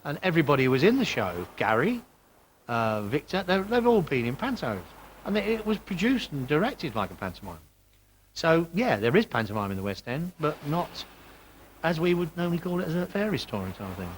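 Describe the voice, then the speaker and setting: Animated, blunt man. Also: fairly high pitch, a little fast, a little hiss or hum, rough recording. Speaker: interviewee. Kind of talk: opinionated interview talk.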